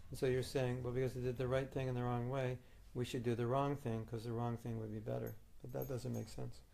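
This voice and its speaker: A man's voice: medium pitch, level tone, fairly quick, creaky, warm tone. A man leading a question and answer session as a spiritual teacher, arguing his point firmly and patiently. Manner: firm, reasoning, argumentative. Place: meeting room.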